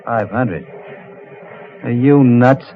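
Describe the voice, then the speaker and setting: A man's voice: medium pitch, very slow. An actor playing a guilty, ruthless ship's crewman in a radio thriller, guarded and coldly menacing. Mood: uneasy. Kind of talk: vintage radio thriller acting. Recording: muffled, noisy old radio drama recording.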